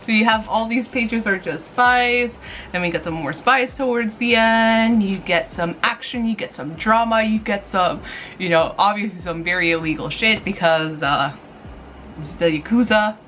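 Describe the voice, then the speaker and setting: A woman's voice: medium pitch, normal speed, fairly bright tone, a little hiss or hum. A woman chatting casually to camera as a review vlog host, gushing, playful and informal. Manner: gushing, giggly, playful, informal with mild swearing.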